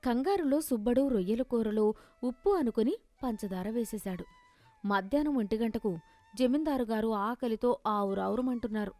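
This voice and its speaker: A woman's voice: fairly high pitch, normal speed, warm tone. A woman narrating a scripted moral story in a storytelling style.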